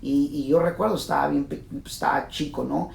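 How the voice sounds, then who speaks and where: Mexican man with a gravelly, roomy voice. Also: fairly even intonation, a little fast. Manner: many fillers and restarts, earnest. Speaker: real estate investor and course host. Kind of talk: casual personal storytelling to course subscribers. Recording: roomy with faint rumble.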